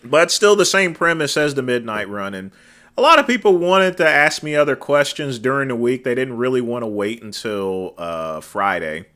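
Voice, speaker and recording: Man hosting a livestream, loud carrying voice, bright and forceful tone, rambling with fillers, trailing off quietly, desk mic. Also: medium pitch, expressive, moderate pace. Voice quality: naturally loud, cutting voice. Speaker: livestream host. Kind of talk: casual chat reading viewer comments. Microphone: desk microphone.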